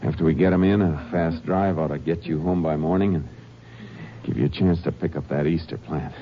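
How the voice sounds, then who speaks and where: A man's voice: a bass voice, fairly even intonation, fairly quick, a little hiss or hum. An actor in a 1950s radio drama playing a laconic, dry Texas Ranger who narrates his own case. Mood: wry. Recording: dull old radio broadcast with music.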